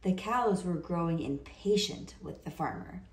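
A woman reading a children's picture book aloud in an expressive, deliberate storytelling manner. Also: fairly low pitch. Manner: slow, deliberate, animated delivery for children. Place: indoor room. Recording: roomy indoor echo.

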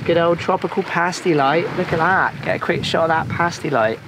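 A man's voice: fairly high pitch, brisk, gravelly, heavy background noise. A young man, a crew member chatting playfully on a sailboat deck. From England. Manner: jokey, playful. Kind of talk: casual chat.

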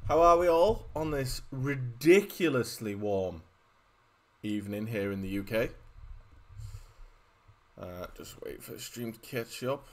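A young man from Yorkshire talks loosely to his stream chat, rambling from one aside to the next and poking fun at himself. He speaks at an ordinary middle pitch into a desk mic at home. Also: animated, a little slow, long pauses. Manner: chatty, rambling, self-deprecating asides. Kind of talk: casual live-stream chat. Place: home room.

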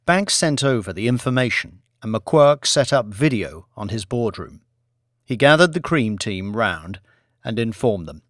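A man's voice: lowish voice, expressive, unhurried, fairly bright tone, dry recording, studio quality. A man reading scripted fiction as a dramatic audiobook narrator.

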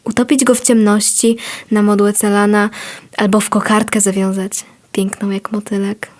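A woman reciting a poem in a measured, deliberate way. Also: mid-range voice, little pitch movement, a little mellow. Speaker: poem reader. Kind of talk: poetry recitation.

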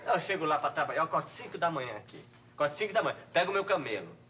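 A man's voice: on the high side, very animated, racing, pushed and bright, distant mic, a little hiss or hum. A Brazilian man rambling through a self-pitying comic story in a sketch. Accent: Brazilian Portuguese. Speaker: comedian in a sketch.

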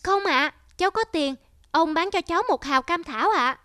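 A woman's voice: extremely high pitch, normal speed, fairly bright tone, dry recording, studio quality. A woman voicing a playful, polite little village boy in a cartoon. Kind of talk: cartoon character acting. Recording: music under the voice.

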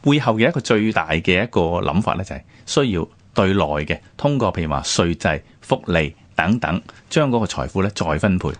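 Male radio presenter, Hong Kong Cantonese accent. Low register, lively inflection, conversational explainer of history. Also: fairly quick, hardly any pauses, no room sound. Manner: explanatory, with rhetorical questions and frequent sentence particles. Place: radio studio.

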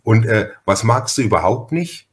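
A man's voice: mid-range voice, expressive, moderate pace. A man from Franconia with a Franconian accent hosting a casual podcast conversation, rambling and often repeating his phrases. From Franconia, Germany. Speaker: podcast host.

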